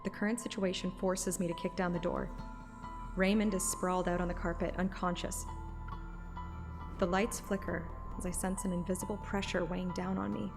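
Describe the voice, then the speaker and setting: A woman's voice: on the low side, level tone, moderate pace, creaky, music in the background. A woman narrating a story like an audiobook, with casual streamer asides. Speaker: streamer reading a game story aloud.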